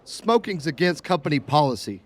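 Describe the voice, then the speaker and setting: A man's voice: a little high, sing-song, moderate pace, slight background noise. A man playing a big, tough but cautious guy in an audiobook, wry and disapproving. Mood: wary. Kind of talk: audiobook character acting.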